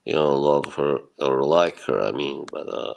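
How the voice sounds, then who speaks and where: A man's voice: a bass voice, unhurried, creaky, a little mellow. A man from Bosnia, rambling and reassuring as he chats casually with viewers on his livestream and chuckles often. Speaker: livestream host. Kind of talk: casual chat with live viewers.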